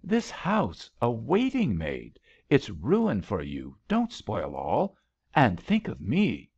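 A man's voice: medium pitch, huge pitch swings, slow, creaky, a little mellow, breathy edge, lo-fi sound, full character voice. A man voicing a timid, tender young woman in love, earnest and pleading, in an audiobook story. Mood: urgent pleading.

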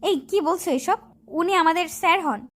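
High-pitched feisty college girl played by a woman. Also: normal speed, a bit bright. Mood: anxious. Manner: scolding and pleading. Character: feisty, bossy college girl in love with her friend. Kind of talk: acted romantic audio story dialogue.

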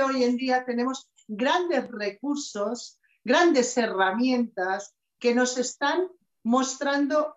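A middle-aged woman speaks slowly and emphatically in a slightly high voice. She gives an inspirational talk over a webcam, and the small office around her sounds echoey. The sound is dull and lo-fi. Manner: slow, measured and emphatic, with expressive hand gestures. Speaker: conference speaker. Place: small office with shelves, indoors. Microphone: webcam video call.

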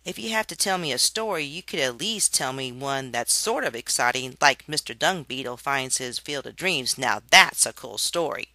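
A man's voice: mid-range voice, animated, moderate pace, few pauses, sharp tone, no echo. A man doing a sassy, whiny little worm kid. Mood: bored sarcastic. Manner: whiny, sarcastic complaining. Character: cranky young male worm child, bored and sassy.

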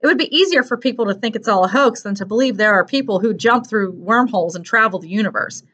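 A woman's voice: mid-range voice, quick pace, fairly bright tone, lo-fi sound, lightly acted. A woman voicing a tough, confident teenage girl in an audiobook, speaking bluntly and with self-assurance. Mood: skeptical, matter-of-fact.

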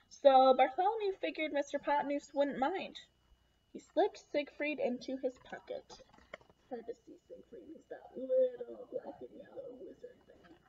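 A woman's voice: high voice, dark tone. A young adult woman reading a children's picture book aloud expressively, with questions and asides to her young listeners. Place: small room at home, indoors. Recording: dull lo-fi webcam sound.

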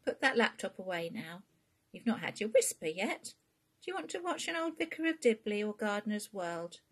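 A woman's voice: mid-range voice, big pitch swings, moderate pace, husky, voice pushed up. A woman voicing a protective, affectionate mum in a storybook reading, firm and plain-spoken. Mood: fond. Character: protective, affectionate working-class mum, middle-aged or older.

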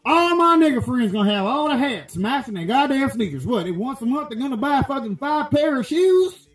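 Man playing a crude loudmouth country guy, rambling profane rant, very high voice, echoey room. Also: big pitch swings, fairly quick, soft background music. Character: crude loudmouth rural American man voter.

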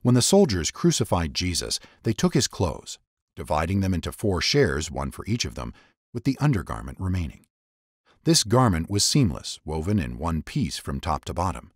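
A man narrating scripture dramatically, in a solemn and deliberate storytelling manner. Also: low-pitched, very animated, husky, no echo, studio quality.

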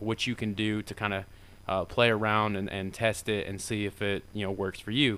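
A low-voiced man with a smooth, clean tone walks through a software tutorial. He talks informally and rambles a bit, using lots of fillers. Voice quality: deeper voice. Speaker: tutorial maker. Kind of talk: casual screen-recorded software tutorial.

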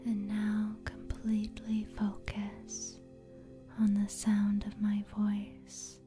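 A woman speaking in a breathy whisper as an ASMR host, in a slow, soothing, deliberate manner. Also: mid-range voice, completely flat delivery, over music. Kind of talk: whispered soft-spoken ASMR, guided sleep meditation.

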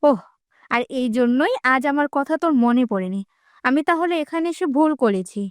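A woman's voice: high-pitched, animated, normal speed, no echo, studio quality. A woman playing a protective young village girl in a cartoon, emphatic and bossy in a familiar way. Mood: sulky. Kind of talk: Bengali fairy-tale cartoon dubbing.

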